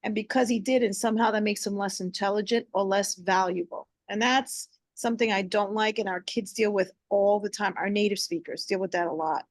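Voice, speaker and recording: A woman's voice: medium pitch, steady tone, fairly quick, hardly any pauses, no echo. Impassioned teacher woman, dry sound. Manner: impassioned, mixes in Spanish phrases. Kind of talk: discussion contribution.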